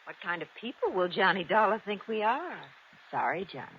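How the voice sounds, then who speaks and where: An actress playing a haughty, sharp-tongued young heiress in an old-time radio drama. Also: female voice, mid-range voice, very animated, a little fast, slight background noise, lightly acted. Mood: exasperated. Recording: muffled vintage radio recording.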